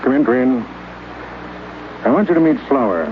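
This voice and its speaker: An actor playing a dry, world-weary station chief. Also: male voice, mid-range voice, animated, normal speed, natural voice. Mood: wry. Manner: dry, wry, gently probing. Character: kindly, world-weary male space-station official. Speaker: narrator and station supervisor. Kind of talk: 1950s radio acting. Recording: dull lo-fi radio with clear hiss.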